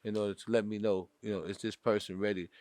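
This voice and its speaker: Milwaukee guy hosting a podcast, cocky rhymes, fast, dry sound. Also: fairly low pitch, little pitch movement, a little mellow, no echo. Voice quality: rhyming swagger, frequent "you know what I'm saying". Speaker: podcast host. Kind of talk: boastful casual talk.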